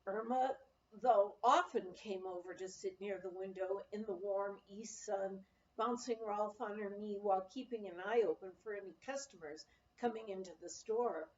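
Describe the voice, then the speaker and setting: A woman reading a memoir aloud as an expressive storyteller, with lively emphasis. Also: mid-range voice, normal speed, lo-fi sound. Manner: acts out dialogue with lively emphasis. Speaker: audiobook reader.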